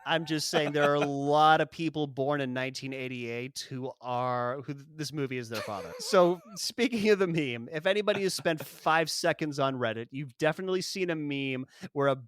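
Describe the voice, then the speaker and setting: A man co-hosting a podcast, chatting casually about a film, animated and swearing, often acting out lines from the movie. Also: a little high, moderate pace, few pauses. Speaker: podcast co-host. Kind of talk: casual film review chat.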